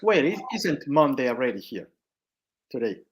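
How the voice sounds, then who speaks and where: A man chiming in on a casual chat with short, quiet remarks. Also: mid-range voice, sing-song, moderate pace, breathy edge.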